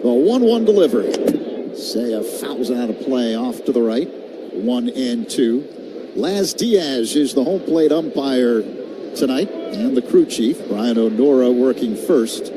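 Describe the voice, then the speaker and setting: A man with an American accent calls baseball on the radio in a mid-pitched voice. Clear ballpark noise sits behind his commentary. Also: expressive, unhurried. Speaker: radio play-by-play announcer. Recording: clearly audible stadium ambience noise. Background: ballpark ambience.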